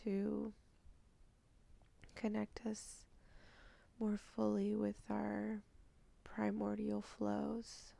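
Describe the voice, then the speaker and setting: Slow, half-whispered woman guiding meditation. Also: medium pitch, completely flat delivery, creaky, no room sound. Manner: slow, soft, half-whispered, with sighs and pauses. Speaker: meditation guide. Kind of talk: channeled guided meditation. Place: indoors at night.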